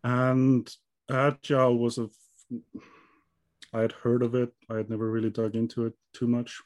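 A man from Iceland in his thirties talks through his story in an even, level tone. He often stops with ums and starts over. His voice sounds soft and mellow, and the sound is dry and close. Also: mid-range voice, normal speed, no room sound. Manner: hesitant, many ums and restarts. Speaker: guest. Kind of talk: conversational interview answers.

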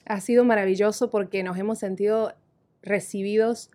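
A woman from Argentina, a worship leader speaking warmly and enthusiastically in a podcast conversation. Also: mid-range voice, steady tone, normal speed.

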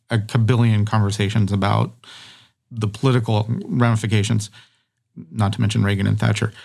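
A man's voice: medium pitch, creaky, darkish tone. A middle-aged man hosting a conversational podcast, rambling through his thoughts with many fillers and restarts.

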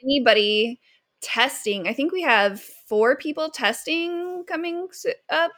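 A woman's voice: sits high, unhurried, fairly bright tone, dry recording, studio quality. A woman co-hosting a podcast, teasing her co-host dryly and laughing often. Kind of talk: casual chat.

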